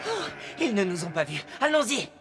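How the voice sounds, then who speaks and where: A man's voice: high-pitched, big pitch swings, brisk, background noise. Plucky young male cartoon hero. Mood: sly. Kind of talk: animated cartoon dub acting. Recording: music behind the voice.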